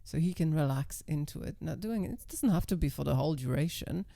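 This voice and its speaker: A woman with a naturally low, gravelly voice, talking in a calm, level, assertive manner. Her tone is mellow and dark, recorded dry and close with no room sound. Her English phrasing is slightly non-native. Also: a little fast, no echo. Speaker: interview guest, professional dominatrix. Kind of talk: casual conversation.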